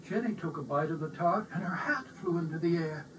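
A man narrating a children's storybook aloud in an animated, performed style. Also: on the high side, a little fast. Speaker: storybook reader. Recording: distant mic with faint noise.

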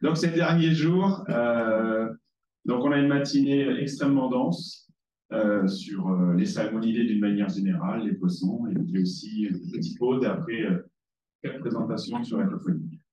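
A man's voice: on the low side, normal speed, warm tone, far from the mic. Man making casual announcements in an echoey hall. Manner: casual, self-deprecating. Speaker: session chair. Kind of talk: announcements to conference audience.

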